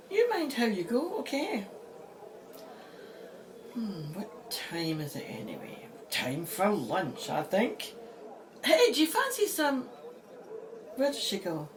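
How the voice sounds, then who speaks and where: A woman's voice: fairly high pitch, background noise. A woman playing a spirited, wry, plain-spoken Egyptologist in an audio drama.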